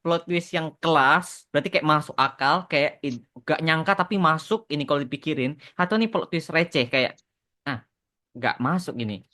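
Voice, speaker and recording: A man's voice: on the high side, expressive, normal speed, sharp tone. An Indonesian man in a casual podcast Q&A, explaining things with repeated words for emphasis and slipping English phrases into his talk. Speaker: podcast host and animation author answering questions.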